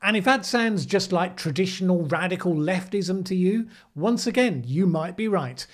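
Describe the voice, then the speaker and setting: A man's voice: high voice, expressive, moderate pace. A middle-aged man hosting a commentary show, speaking to the camera in a sardonic way. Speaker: host of a weekly news commentary show. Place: small indoor room, plain backdrop. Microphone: microphone on a desk boom arm.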